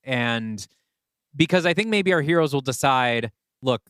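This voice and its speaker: A man's voice: medium pitch, lively intonation, unhurried, fairly bright tone, clear, resonant tone, no room sound, studio quality. A man co-hosting a podcast, working through ideas in a casual, conversational way. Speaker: podcast co-host and writer.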